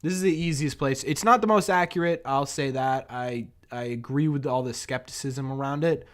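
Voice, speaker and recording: A hoarse young man with a New England accent, hosting a casual live-stream sports chat, rambling and thinking aloud as he answers viewers. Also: mid-range voice, normal speed. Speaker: sports podcast host.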